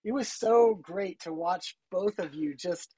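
A woman with a husky voice telling a chatty anecdote full of fillers and laughter. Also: on the low side, a little slow, warm tone, dry recording. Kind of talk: casual anecdote.

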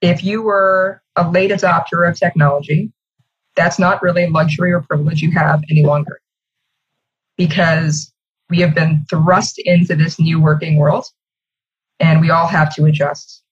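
A woman speaks as an executive guest in a conversational interview, articulate and emphatic. Her voice has a husky grain, and it comes through slightly muffled, as on an internet call. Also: low voice, steady tone, normal speed. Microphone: video call.

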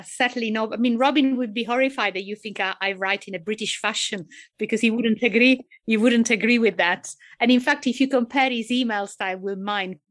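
A woman with an Italian accent, a psychiatrist answering interview questions thoughtfully, with frequent pauses and fillers. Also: fairly high pitch, fairly bright tone. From Italy. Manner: thoughtful, hesitant with many fillers. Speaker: psychiatrist guest.

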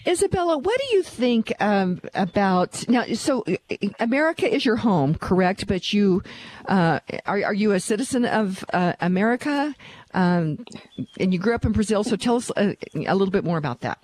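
A woman's voice: medium pitch, animated, normal speed, darkish tone. A woman hosting her own talk radio show. She is earnest and emphatic and repeats her key phrases.

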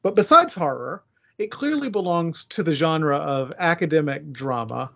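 Man, fairly high voice, wry conversational podcast host, slightly dull recording. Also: expressive, normal speed, gravelly, pushed and bright. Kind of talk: casual discussion, moderating.

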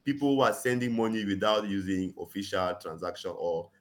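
A man talks in an earnest, conversational way. His voice sits very low, and he often drops in "you know" as he builds his case. He leans hard on his main points. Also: fairly quick. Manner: earnest, frequent "you know", rising emphasis on key points. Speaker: guest. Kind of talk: conversational interview, advocacy.

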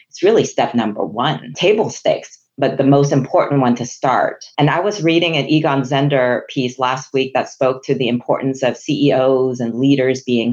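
A woman's voice: very deep voice, a little mellow. Woman from Hong Kong on a muffled line. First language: Chinese. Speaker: guest, HR executive. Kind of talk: interview answers.

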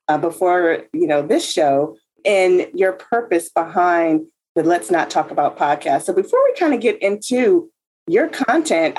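Woman hosting a chatty interview, lowish voice, super enthusiastic, laughs a lot. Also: darkish tone. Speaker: interview host.

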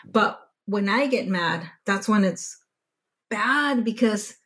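A Spanish-native woman speaks as a podcast guest, her voice sitting at a moderate everyday pitch. She talks in a loose, chatty way, restarting phrases and correcting herself as she goes. When she recalls frustrating moments, she becomes animated. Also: slow pace. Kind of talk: casual conversational storytelling.

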